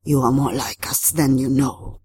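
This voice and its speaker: A woman voicing an ancient vengeful water spirit in a storybook, calm and menacing, with slow, solemn, formal phrasing. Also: extremely low pitch, husky, no room sound, pitched below the performer's own voice, full character voice. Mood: calm, coaxing.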